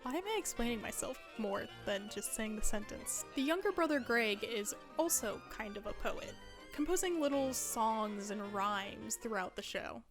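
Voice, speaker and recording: Woman, twenties, higher-pitched, casual scripted narration, conversational, wry, soft music underneath. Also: animated, moderate pace. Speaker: video essayist.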